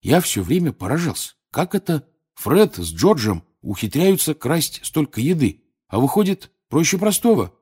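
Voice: mid-range voice, sing-song, moderate pace, darkish tone, breathy edge, voice pushed up. Narrator as a chatty, friendly teenage boy. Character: friendly, generous teenage boy, a young wizard.

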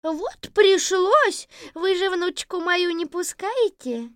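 A cunning old granny speaks in a folksy, high, sing-song voice, as a woman reading a fairy tale puts her on. Her pitch leaps up and down, and the sound is dry and close. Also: lively intonation, takes it slowly, no echo, heavily acted. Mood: sly folksy. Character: sly kindly old woman, a disguised sorceress. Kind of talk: storybook reading.

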